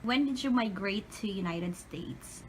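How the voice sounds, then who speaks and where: A woman's voice: medium pitch, expressive, a little slow, a little hiss or hum. Woman interviewer reading out prepared questions.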